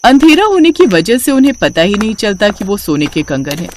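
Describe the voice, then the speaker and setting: A woman's voice: medium pitch, very animated, a little fast, a little mellow, slight background noise. A woman narrating a scripted moral story for children as its storyteller.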